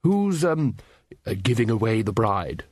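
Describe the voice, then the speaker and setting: A man voicing a hearty, blustering, absent-minded old wizard in an audiobook, halting often with ums and uhs. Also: on the low side, big pitch swings, no room sound, studio quality. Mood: flustered.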